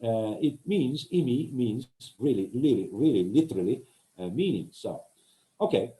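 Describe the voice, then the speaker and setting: A man with a Turkish accent, a native Turkish speaker, giving an academic lecture as a translator, often pausing and searching for words. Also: medium pitch, animated, mellow, poor audio. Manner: hesitant, searching for words with many pauses.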